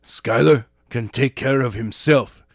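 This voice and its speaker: A man voicing a calm, stern male martial arts teacher with a measured, matter-of-fact way of speaking, in a storybook reading. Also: mid-range voice, gravelly, no echo, clean studio sound.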